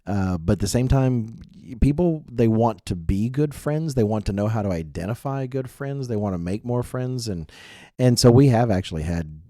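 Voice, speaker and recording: A man's voice: mid-range voice, animated, normal speed, mellow, no echo, studio quality. A man co-hosting a casual religious youth podcast in a chatty, self-deprecating way, full of asides, getting over a mild cold. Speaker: podcast co-host.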